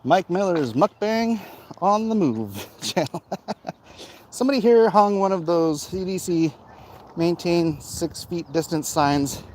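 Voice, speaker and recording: A man's voice: high-pitched, expressive, slow pace, darkish tone. A man chatting casually and jokily as he hosts a livestream while walking outdoors. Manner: chatty, jokey, reading out viewer comments. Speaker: livestream host. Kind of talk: casual walk-and-talk vlog chat. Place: outdoors, walking through a neighbourhood. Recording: noisy outdoor recording, clicks and handling.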